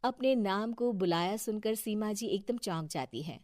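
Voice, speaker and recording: A woman's voice: mid-range voice, normal speed, a little mellow, dry recording. Dry, close woman storyteller. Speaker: narrator. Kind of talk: scripted story narration.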